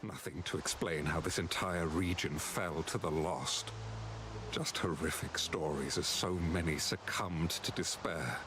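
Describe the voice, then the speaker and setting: An actor playing a stern, resolute knight in a video game, speaking formally and earnestly. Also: male voice, low voice, steady tone, measured pace. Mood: solemn, resolute. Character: stern, resolute male knight sworn to an oath. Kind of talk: scripted video game dialogue. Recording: noisy game ambience behind dialogue.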